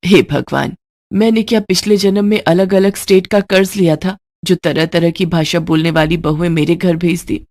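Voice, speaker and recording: A woman voicing an exasperated, wisecracking middle-aged Indian mother-in-law in a scolding, sardonic, drawn-out way for a story. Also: on the low side, fairly even intonation, a little mellow, no room sound, natural voice. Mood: exasperated lament.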